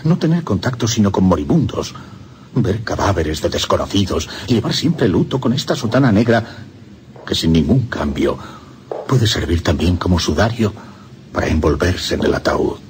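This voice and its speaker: A man's voice: deep voice, big pitch swings, moderate pace, darkish tone, slight background noise. A man with a Castilian Spanish accent playing a lovesick, tormented young priest, confessing in an anguished radio drama monologue. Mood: despairing.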